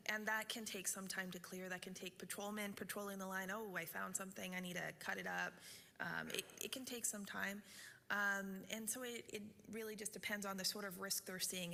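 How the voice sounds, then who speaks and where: Woman presenter at a community meeting, bright, gravelly voice, loose and chatty, in a large reverberant hall. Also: medium pitch. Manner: casual, with many fillers and "right?" check-ins. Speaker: utility company presenter. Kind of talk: public forum presentation.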